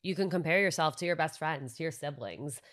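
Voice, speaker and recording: A woman's voice: deep voice, animated, brisk. A young woman co-hosting a podcast, talking in a reflective, reassuring way and building long points in casual chat. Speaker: podcast co-host.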